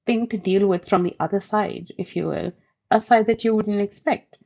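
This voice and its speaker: A woman in her thirties from India with a softened Indian accent, reflecting thoughtfully in an interview, hedging often. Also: mid-range voice, fairly even intonation, moderate pace, vocal fry, highs cut off. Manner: thoughtful, hedging, with light laughs. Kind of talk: reflective personal storytelling.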